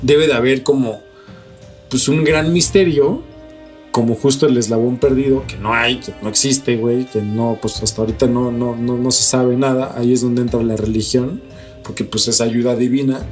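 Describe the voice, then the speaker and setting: A man's voice: mid-range voice, steady tone, moderate pace, darkish tone. A Mexican man with a mid-range voice talks as a podcast co-host. He argues casually and with strong opinions, peppering his speech with slang and swearing. Faint music plays behind him. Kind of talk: casual conversational debate.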